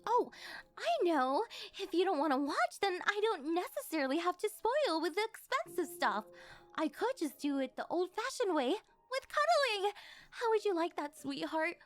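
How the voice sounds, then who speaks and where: Woman acting a high-pitched, doting yandere girlfriend. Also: sing-song, normal speed, faint music behind. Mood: coaxing, affectionate. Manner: coaxing, sweet, rambling, slightly awkward. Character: rich, doting, possessive older yandere girlfriend. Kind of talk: scripted ASMR roleplay spoken to the listener.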